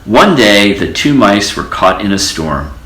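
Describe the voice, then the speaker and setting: A man reading a children's storybook aloud as an expressive storyteller, in an echoey room. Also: fairly low pitch, unhurried. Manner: expressive storytelling with character voices. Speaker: storybook reader.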